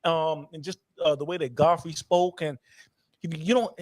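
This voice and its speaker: A man's voice: fairly high pitch, steady tone, normal speed, darkish tone, dry recording. Thoughtful man on a dry podcast mic. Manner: thoughtful, many fillers and restarts, throat-clearing. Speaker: podcast co-host. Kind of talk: casual chat.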